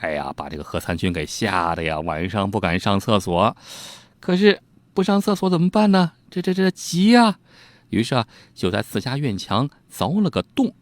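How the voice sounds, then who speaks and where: Animated low-voiced male storyteller. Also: moderate pace, no room sound. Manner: animated, colloquial, teasing asides to listeners. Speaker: storyteller, host. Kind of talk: informal storytelling narration of old ghost tales.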